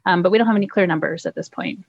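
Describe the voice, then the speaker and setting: Gravelly woman, agency staffer, hesitant and full of fillers. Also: lowish voice, rapid-fire, no echo, muffled recording. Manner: hesitant, many ums and restarts. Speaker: refugee resettlement staff member. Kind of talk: news interview.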